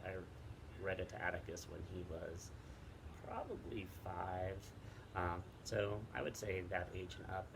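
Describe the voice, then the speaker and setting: A middle-aged man, a teacher, casually recommending and reading books aloud outdoors, pausing often mid-sentence. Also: sits low, creaky. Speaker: teacher and read-aloud co-host. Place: outdoors in wind. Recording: wind noise on the microphone.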